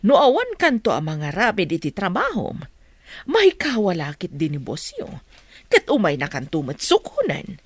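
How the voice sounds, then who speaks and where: A woman's voice: medium pitch, wildly swooping pitch, normal speed, slightly rough, dry recording, heavily acted. A woman playing a scheming, coaxing middle-aged mother in a Filipino radio drama.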